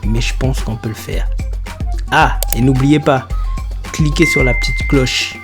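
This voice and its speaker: A man's voice: medium pitch, measured pace. A man hosting a video channel, speaking directly and in a friendly way to viewers in a YouTube intro. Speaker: channel host. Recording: music underneath.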